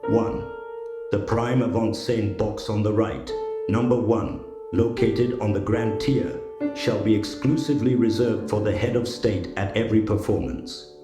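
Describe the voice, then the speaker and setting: A man's voice: fairly low pitch, a little slow, echoey room, natural voice. A man playing a grave, formal opera house manager in an audio drama, speaking solemnly and with an ominous air. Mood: formal, reading. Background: plucked guitar music.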